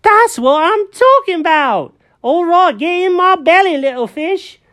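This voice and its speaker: A woman's voice: extremely high pitch, expressive, a little slow, fairly bright tone, pitched above the performer's own voice, exaggerated voice. A woman voicing a naive, greedy male kitty cat who is obsessed with eating fish. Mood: excited. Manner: eager, wide-eyed and fish-obsessed.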